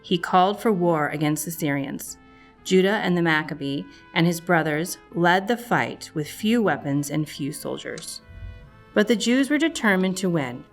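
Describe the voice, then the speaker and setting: A woman's voice: on the low side, animated, moderate pace. A woman reading a scripted storybook narration for children. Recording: music playing behind the voice. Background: music.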